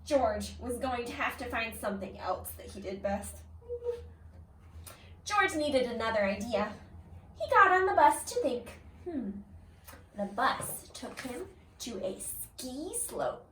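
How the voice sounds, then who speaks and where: A woman teacher reads a children's story slowly, her high voice swinging expressively as she pauses to put questions to little listeners. The sound is roomy and echoing, picked up from a distance. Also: a little hiss or hum. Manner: expressive, exaggerated, pausing to ask young listeners questions. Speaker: kindergarten teacher reading aloud. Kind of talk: animated children's picture-book read-aloud with questions to listeners. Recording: roomy echo, distant from the mic.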